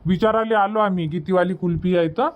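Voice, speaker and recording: Casting: pestering little cartoon boy, done by a man, very high voice, whiny, repetitive, Varhadi Marathi accent. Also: fast talker, natural voice. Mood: insistent. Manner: whining, insistent, repetitive. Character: pestering little village boy begging for money. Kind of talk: cartoon comedy dubbing.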